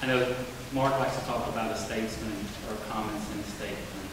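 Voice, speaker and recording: A man speaking as a candidate at a forum, halting and informal, often correcting himself. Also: lowish voice, fairly even intonation. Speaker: candidate for governor. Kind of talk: campaign forum answer. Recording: echoey hall from far off, hiss.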